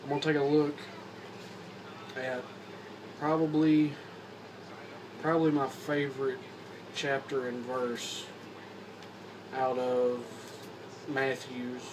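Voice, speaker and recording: A young man from Kentucky talks in a Southern accent, his voice a little hoarse from a cold. He rambles in an even, flat tone, restarting his sentences often. He sits back from a camera mic, with steady noise humming behind him. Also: medium pitch, distant mic. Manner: rambling, halting with repeats and fillers. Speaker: vlogger. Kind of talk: casual talk to camera. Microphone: phone or camera mic.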